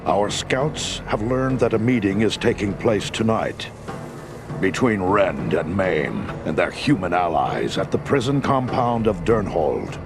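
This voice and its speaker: A man playing a proud, solemn, aging orc war chief with a gravelly voice in an animated game. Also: deep voice, a little slow. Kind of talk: animated adventure game voice acting. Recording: music behind the voice.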